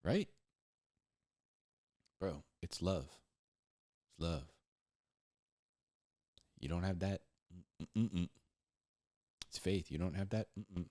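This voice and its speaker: Very deep-voiced man teaching casually. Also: extremely slow, long pauses, mellow. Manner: informal, slangy, repeats words for emphasis; acts out imagined back-and-forth dialogues. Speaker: Bible teacher and storyteller. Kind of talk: casual, conversational Bible teaching.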